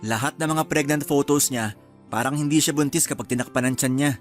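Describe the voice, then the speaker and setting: A man's voice: mid-range voice, a little fast, soft background music, natural voice. A man playing an easygoing, reassuring young husband in a radio drama. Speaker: husband character.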